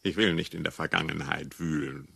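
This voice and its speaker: An actor playing a weary, grumbling older husband in a radio drama, with a gravelly, sour delivery. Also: male voice, a bass voice, fairly even intonation, a little fast, pushed and bright, no room sound, heavily acted. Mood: disgusted, weary. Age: middle-aged or older.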